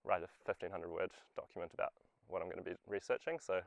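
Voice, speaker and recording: A man's voice: sits low, quick pace, vocal fry, dry recording, muffled recording. A young adult man, a student, giving an informal short talk about himself. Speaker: student speaker at a community event.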